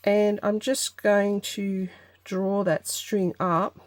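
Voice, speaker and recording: A woman hosting a casual craft vlog, congested from an allergy, talking through her work in an unhurried, tentative way as she thinks aloud. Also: mid-range voice, flat delivery, no echo, clean studio sound. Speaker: craft channel host.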